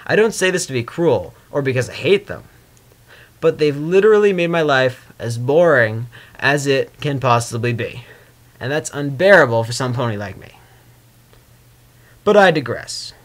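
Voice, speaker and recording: A man's voice: mid-range voice, lively intonation, normal speed, close to an everyday voice. A man voicing a vain, sarcastic, grumbling male spirit of chaos in a theatrical fan fiction reading. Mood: brooding. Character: Discord, male chaos spirit, sardonic, vain, wary prisoner. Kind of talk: dramatic first-person fan fiction reading.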